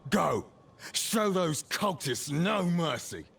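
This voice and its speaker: A man playing an urgent, embattled town defender in a video game, shouting commands in a rough voice. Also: a little high, sing-song, slow, distant mic, noisy background, exaggerated voice. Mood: urgent, resolute. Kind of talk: dramatic video game voice acting.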